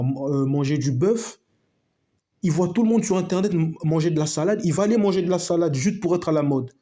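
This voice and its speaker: Emphatic, slangy man with a husky voice. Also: fairly high pitch, warm tone. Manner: emphatic, repetitive, slangy, addresses viewers as friends. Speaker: video host. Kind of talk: casual opinion monologue to camera.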